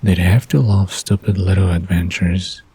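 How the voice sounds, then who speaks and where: A man's voice: deep voice, steady tone, moderate pace, warm tone, husky, exaggerated voice. A man playing an amused, teasing young man with a laid-back manner in a romantic audio drama.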